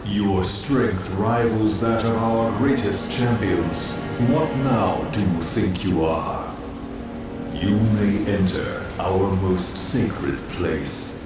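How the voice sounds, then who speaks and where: A grave ancient guardian spirit from a video game, proud and commanding. The voice is low and unhurried. It booms from afar with heavy echo. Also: male voice. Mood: solemn challenge. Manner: stately, solemn, commanding. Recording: heavily echoing, distant, over game music.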